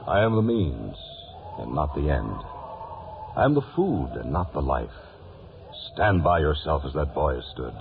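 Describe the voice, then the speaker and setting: Ghostly great poet, rock-bottom pitch, gravelly, grave, oracular, 1950s radio drama, tinny old recording. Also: male voice, sing-song, a little slow, pauses often, noisy background, exaggerated voice. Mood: solemn.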